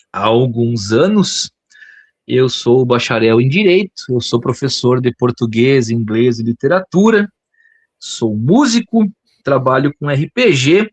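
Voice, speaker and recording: Slow, playful Brazilian man from the south. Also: medium pitch, no echo. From Brazil, Rio Grande do Sul. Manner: playful, joking, chuckles often. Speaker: podcast co-host. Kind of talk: casual podcast chat.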